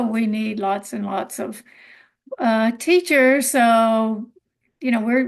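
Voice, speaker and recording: Higher-voiced woman with gravelly fry. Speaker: teacher educator.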